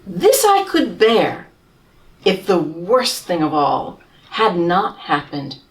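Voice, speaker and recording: A woman's voice: on the low side, huge pitch swings, slow, recorded from a distance, heavily acted, rough recording. A woman reading as a pompous, whining camel. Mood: grandiose. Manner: grandiose, whining, theatrical complaints. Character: pompous self-pitying male camel. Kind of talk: storybook reading.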